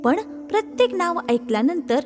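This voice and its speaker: Female children's narrator: high register, animated storytelling, prominent background music. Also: moderate pace.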